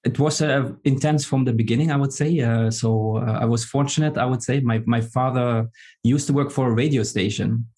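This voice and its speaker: A middle-aged man from Germany, speaking as a guest in a casual podcast interview, pausing often with fillers and restarts. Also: mid-range voice, steady tone, a little mellow. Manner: hesitant, many fillers and restarts. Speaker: guest, tech executive.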